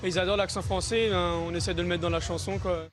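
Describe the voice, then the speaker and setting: Fast-talking young French singer. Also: male voice, high voice, level tone, loud music behind the voice. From Alsace, France. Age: young adult. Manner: hesitant, many fillers. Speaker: singer guest. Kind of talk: casual interview answers.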